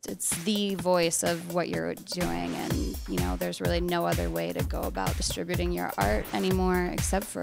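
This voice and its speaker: A woman's voice: lowish voice, gravelly. A woman who is an artist, answering an interview in a reflective way. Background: music.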